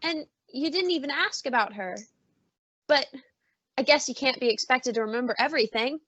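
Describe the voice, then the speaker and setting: A woman acting a doting, fussing mother in an old-time radio suspense play, with a gently chiding way of talking. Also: high-pitched, normal speed, dry recording. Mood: probing. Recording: slightly dull, muffled audio.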